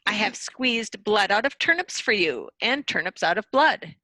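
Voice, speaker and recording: A woman's voice: mid-range voice, very animated, normal speed, pushed and bright, no echo. A woman playing a proud, defensive royal wizard in a storybook readers theater, reciting in a grand, declamatory way. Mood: boastful.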